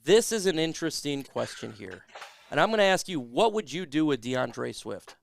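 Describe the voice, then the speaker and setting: Man losing his voice, gravelly and high. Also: big pitch swings, normal speed, slight background noise. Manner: emphatic, reads viewer questions aloud. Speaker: podcast host. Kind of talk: casual sports talk show.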